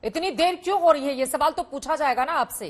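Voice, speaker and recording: A high-pitched woman anchors a live television news debate. She is pointed and insistent, pressing her guests for answers. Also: quick pace.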